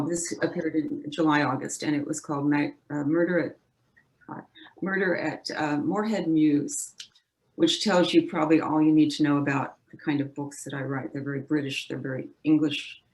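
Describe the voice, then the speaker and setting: A woman author giving a casual, halting talk about her books, with frequent uhs and restarts. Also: low-pitched, a little mellow, poor audio. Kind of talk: casual presentation at an online library event.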